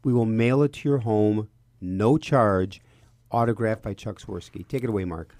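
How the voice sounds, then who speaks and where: A man's voice: on the low side, normal speed, darkish tone, no room sound. A man talking live as a radio co-host in a studio, in a conversational style.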